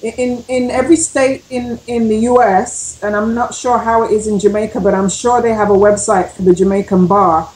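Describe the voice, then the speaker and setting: A woman's voice: mid-range voice, fairly even intonation, moderate pace, hardly any pauses, darkish tone, echoey room, a little hiss or hum, low-quality recording. A woman giving emphatic, step-by-step advice on a radio talk show, repeating her key points. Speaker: panelist giving legal advice.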